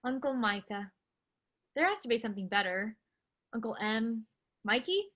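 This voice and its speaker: An actress in a staged play reading, playing an upbeat, affectionate and excitable young pregnant woman. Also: a little high, moderate pace, highs cut off, a little hiss or hum, close to an everyday voice. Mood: excited.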